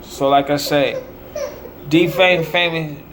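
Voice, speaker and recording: A somewhat high-voiced American man talks in a rambling monologue. He breaks his thoughts into short, declamatory phrases like a street preacher, over a clear, steady hum of room noise. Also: takes it slowly. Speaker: self-promoting musician.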